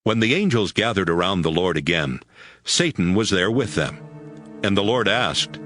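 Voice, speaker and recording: A man's voice: sits low, animated, normal speed, a bit bright, no room sound, clean studio sound, faint music behind. A man narrating a dramatized Bible as a scripted storyteller.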